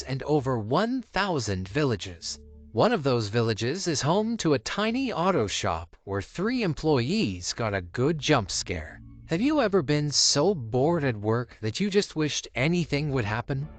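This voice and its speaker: A male narrator delivers a scripted voice-over in a mid-range voice. His intonation is lively and varied, and the recording is dry with hardly any room sound. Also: medium pitch, no room sound. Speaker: narrator of a viral video compilation.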